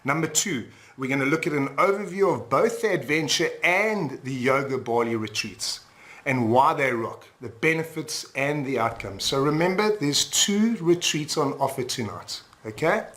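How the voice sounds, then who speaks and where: A man's voice: on the high side, animated, moderate pace, a bit bright. A man from South Africa hosting a sales webinar in an upbeat tone, often checking in with "all right?". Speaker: webinar host and retreat leader.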